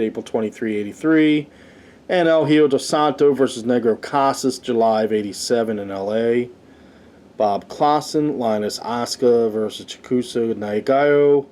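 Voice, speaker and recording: A man's voice: medium pitch, darkish tone. A man hosting a review show, casually reading out lists and commenting on them in an informal way. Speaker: host of a wrestling review show.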